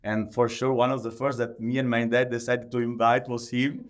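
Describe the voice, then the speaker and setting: A man with an Italian accent answering interview questions conversationally in halting, non-native English, often leaning on the word "like". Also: mid-range voice, level tone. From Italy. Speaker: podcast guest, professional athlete.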